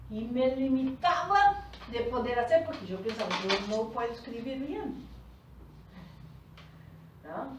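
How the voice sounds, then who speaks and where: A woman's voice: on the high side, slight background noise. A woman coach, a native Portuguese speaker, teaching a group in Spanish and often checking in with her listeners. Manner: explains slowly, often checking in with "¿tá?". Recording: echoey room, far from the mic.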